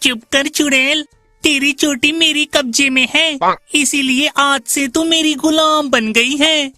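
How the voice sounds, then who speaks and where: Female performer as greedy thieving village wife, animated tale; commanding, high-pitched, deliberately slow. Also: dry recording, full character voice. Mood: bossy. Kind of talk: animated moral-tale cartoon dialogue.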